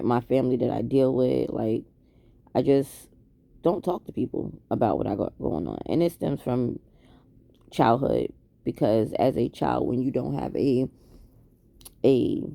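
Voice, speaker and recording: A woman around forty from Queens talks to camera, with a gravelly edge and a very dark, mellow tone. She is hesitant and reflective, full of pauses and false starts. The sound is dry, with no room echo. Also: rumbling low. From Queens, New York. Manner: hesitant and reflective, many ums and restarts. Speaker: vlogger. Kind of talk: candid late-night confessional talk to camera.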